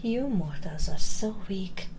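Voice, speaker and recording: Slow, menacing vampire villainess played by a woman. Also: mid-range voice, lively intonation, warm tone, slight background noise. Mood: disdainful. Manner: breathy half-whispers, slow menacing taunts, condescending. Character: sadistic, vengeful female vampire villain, centuries old. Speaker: audio roleplay performer. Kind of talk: intimate ASMR villain roleplay. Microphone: close binaural microphone.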